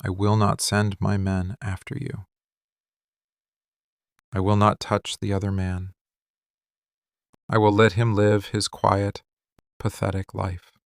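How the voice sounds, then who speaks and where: A low, gravelly mafia boss, acted out by a man, possessive and lovesick, in an intimate boyfriend ASMR roleplay. He talks in short, deliberate phrases with weary intensity and barely moves off one note. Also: little pitch movement, dry recording, studio quality. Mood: restrained, resolute.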